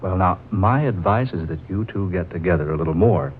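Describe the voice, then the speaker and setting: An actor playing a hearty, practical, joking husband with a folksy manner in a 1940s radio drama. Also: male voice, low voice, lively intonation, fairly quick. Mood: easygoing advice. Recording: muffled, hissy old 1940s radio recording.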